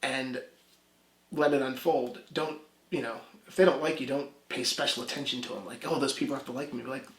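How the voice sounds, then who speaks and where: A man's voice: medium pitch, fairly even intonation, a bit bright. Musician guy chatting, trips over his words a lot, echoey room. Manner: halting, many restarts. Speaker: musician guest. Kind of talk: casual interview chat.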